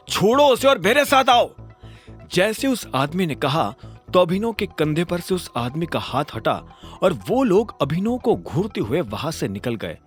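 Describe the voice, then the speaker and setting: A man narrating a scripted audio drama with dramatic storytelling. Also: a little high.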